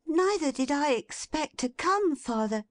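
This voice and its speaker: A woman voicing a cheerful young woman who teases her father in a bright, eager, playful way. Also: high voice, animated, unhurried, no echo, voice pushed up. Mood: gleeful.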